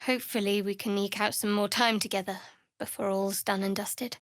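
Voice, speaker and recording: A woman's voice: mid-range voice, flat delivery, normal speed, dry recording, natural voice. A woman playing a guarded, sardonic young female cleric in a video game, with a dry, aloof, clipped delivery. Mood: quiet, sincere. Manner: dry, aloof, clipped sarcasm with earnest undertones. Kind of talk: video game character voice acting.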